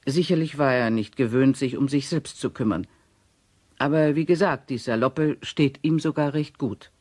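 A man reading a witness statement in a measured, formal, matter-of-fact way as radio play narration. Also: medium pitch, darkish tone, no room sound.

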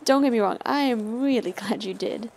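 Girl acting as cautious young survivor woman in an audio drama, highish voice, hesitant, little bit of background noise. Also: normal speed, a little mellow, close to an everyday voice. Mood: guarded, curious. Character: cautious, practical young female survivor on the run.

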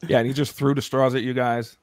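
A man's voice: medium pitch, level tone, quick pace, clean tone. Upbeat man hosting a live stream. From Brooklyn, New York. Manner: upbeat short reactions, reads viewer comments. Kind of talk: casual interview chat. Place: home studio room with neon lights. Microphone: studio mic on a boom arm.

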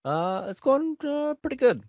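A man's voice: very high-pitched, big pitch swings, normal speed, a little mellow, dry recording. An American man in his thirties, a podcast co-host, chatting casually with short, laid-back replies. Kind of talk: casual chat. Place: home room, indoors. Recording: dull, dry home recording.

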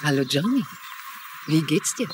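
A woman's voice: low voice, lively intonation, measured pace. A dubbing actress playing a wry, affectionate middle-aged woman in a TV crime drama. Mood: sardonic. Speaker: dubbing actor. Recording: faint background noise.

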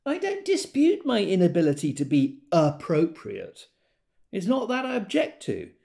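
Audio drama: pompous, indignant pedant, argumentative, highish and very animated. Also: male voice, normal speed, creaky, heavily acted. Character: indignant, pedantic man making a prickly non-apology.

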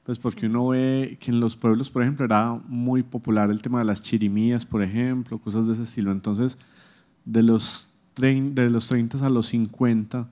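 A man's voice: medium pitch, level tone, moderate pace, warm tone. A young man asking a question from the audience of an auditorium into a handheld microphone. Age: young adult. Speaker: audience member. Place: auditorium seating.

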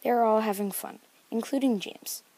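Brief: female narrator for a homemade book-report video, higher voice, dry sound. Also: normal speed, mellow, breathy edge, no echo, low-quality recording. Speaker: narrator of a novel study video.

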